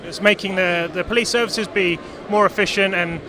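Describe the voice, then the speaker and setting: Man, high voice, brisk upbeat interviewer, crowd noise behind. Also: fairly even intonation. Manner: brisk and upbeat, short prompts. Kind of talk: live trade show reporting. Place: busy exhibition stand. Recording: crowded trade show floor.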